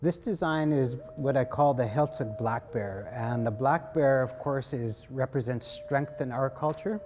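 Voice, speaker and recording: A man's voice: mid-range voice, background noise. A man, speaking as an artist and organizer promoting an event. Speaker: artist and art festival organizer. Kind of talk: promotional interview talk to camera. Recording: reverberant hall, music bed underneath. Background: music.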